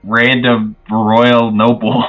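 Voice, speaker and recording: A man's voice: medium pitch, level tone, very slow, highs cut off, faint music behind. A man playing in a tabletop game, chatting casually out of character in an offhand, drawling way. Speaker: player.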